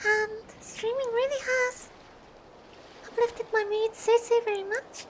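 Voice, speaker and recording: A woman's voice: extremely high pitch, fairly even intonation, measured pace, a little hiss or hum, poor audio. A woman chatting casually on a livestream, gushing and repeating words for emphasis. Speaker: streamer.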